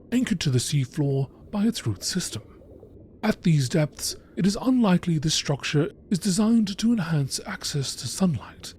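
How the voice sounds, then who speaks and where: A man reading scripted narration in a measured, deliberate nature documentary style. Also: a little high, a little mellow. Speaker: narrator reading game lore. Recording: clearly noisy recording.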